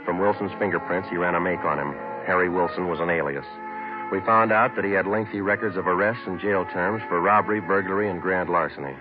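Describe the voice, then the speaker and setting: A muffled old radio recording with music carries an actor as a terse American police sergeant. He narrates his case in a low, clipped, deadpan voice, moving briskly and giving only the facts. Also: lightly acted. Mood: flat, businesslike. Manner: deadpan, clipped, matter-of-fact. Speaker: narrator and lead detective.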